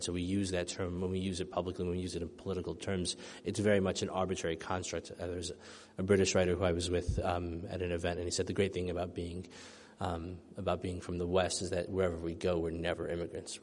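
Middle-aged man, author at a reading, low and even voice with lingering hall echo. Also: fast, hardly any pauses. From United States. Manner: thoughtful, soft-spoken with many ums and restarts. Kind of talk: reading then answering audience questions. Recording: long hall reverb.

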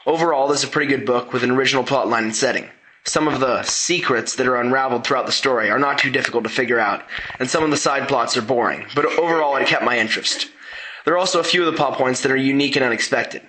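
Fast, bright-voiced teenage boy. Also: mid-range voice, rough recording. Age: teens. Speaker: teen book reviewer. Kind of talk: scripted book review.

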